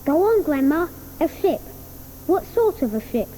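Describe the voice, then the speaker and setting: A woman's voice: high voice, big pitch swings, measured pace, dark tone, a little hiss or hum, low-quality recording. An actress voicing an earnest, kind young boy in a studio radio play, speaking eagerly. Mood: coaxing.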